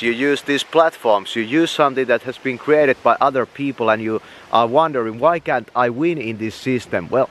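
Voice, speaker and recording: A young man from Finland with a Finnish accent, chatting casually and swearing freely as he walks and films himself at arm's length outdoors. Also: medium pitch. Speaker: vlogger. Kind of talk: rambling walk-and-talk vlog. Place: outdoors, walking through woods and town. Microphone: handheld camera at arm's length.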